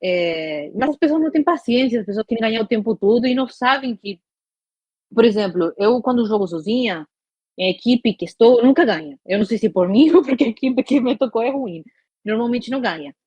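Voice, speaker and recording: A woman's voice: on the high side, expressive, a little fast, mellow, husky, dry recording. A woman from Argentina, talking frankly and venting in a casual podcast chat. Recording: dull lo-fi dry recording.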